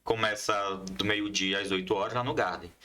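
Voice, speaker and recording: A man's voice: on the low side, steady tone, brisk, pushed and bright. A man talking casually as an interview guest, explaining things with enthusiasm. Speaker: podcast guest, comics collective member and teacher.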